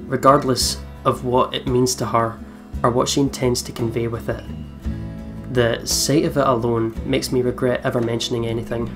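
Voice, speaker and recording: A man hosts a let's play and reads the story narration aloud. His voice sits at a medium pitch, with guitar music clearly behind it. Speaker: let's play host reading the game's narration. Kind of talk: scripted narration read aloud. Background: game music with guitar.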